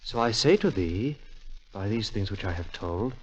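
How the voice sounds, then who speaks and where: A man's voice: fairly low pitch, lively intonation, normal speed, slight background noise, close to an everyday voice. An actor playing an earnest, curious young Englishman of twenty-five in a 1940s radio drama, with a theatrical manner. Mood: puzzled, intense. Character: earnest 25-year-old Englishman, curious heir to a mystery. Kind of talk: 1940s radio drama acting, dialogue and dramatic reading aloud. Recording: dull vintage radio recording.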